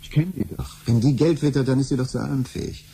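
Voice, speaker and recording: A man's voice: medium pitch, fast, creaky, warm tone, husky. An actor playing an impatient, scheming middle-aged father in a science-fiction radio play. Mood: exasperated.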